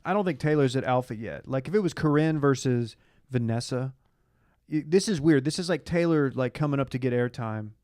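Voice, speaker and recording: A man's voice: medium pitch, fairly quick, a little mellow, no room sound, studio quality. A man co-hosting a podcast, speaking in casual conversational banter. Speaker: podcast co-host.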